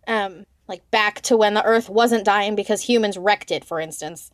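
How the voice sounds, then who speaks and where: A woman's voice: mid-range voice, moderate pace, no room sound, studio quality. A woman interviewing a guest on a podcast in a casual, conversational way.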